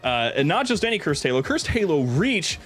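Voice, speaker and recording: A man's voice: fairly high pitch, big pitch swings, a little fast, a bit bright, faint music behind. A man hosting a livestream, chatting casually and jokingly, with a tendency to laugh. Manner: jokey, breaks into laughter often. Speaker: livestream host. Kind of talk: casual chat over gameplay stream.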